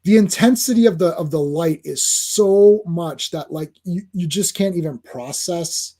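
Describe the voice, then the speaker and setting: High-voiced chatty man hosting a stream. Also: measured pace, warm tone. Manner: chatty, greets chat viewers by name. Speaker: host of a comics livestream. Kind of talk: casual livestream comic review.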